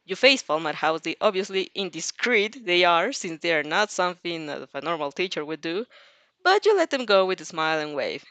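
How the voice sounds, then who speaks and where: A woman's voice: lowish voice, sing-song, brisk, a bit bright, no echo. A woman hosting a fan fiction reaction, reading aloud expressively and breaking into casual, rambling, giggly asides. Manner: rambling, giggly, often interrupts herself with asides.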